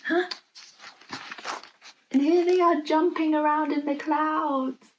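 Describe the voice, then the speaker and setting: A woman with a fairly high voice reads a children's story at a leisurely pace. She is expressive and playful, stepping out of the text to chat about the pictures and put questions to the listener. Some background noise sits behind her home recording. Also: slow pace, low-quality recording. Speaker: storybook reader for young children. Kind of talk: read-aloud storytime with chatty asides about the pictures.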